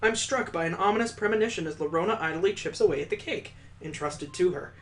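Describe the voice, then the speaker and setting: A man's voice: sits high, quick pace, a bit bright. A man voicing a precocious nine-year-old girl who narrates a visual novel in wordy, formal language. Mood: dry.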